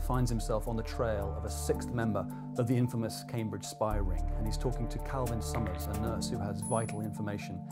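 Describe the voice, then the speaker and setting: Man introducing a book over loud music. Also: fairly low pitch. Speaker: author introducing a reading of his novel. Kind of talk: scripted introduction.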